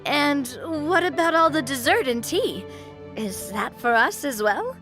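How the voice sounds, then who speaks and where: A woman's voice: high-pitched, expressive, a little slow, a bit bright, soft background music. A woman voicing a breezy, confident, playful young woman with a mischievous streak in a game. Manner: casual, playful. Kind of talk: game voice acting.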